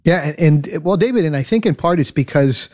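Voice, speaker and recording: A man's voice: fairly high pitch, expressive, a little mellow. A Cuban American man born in the United States, speaking earnestly in an interview with frequent restarts. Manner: earnest, halting with many restarts. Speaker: radio program guest. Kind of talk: conversational interview. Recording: slightly dull lo-fi audio.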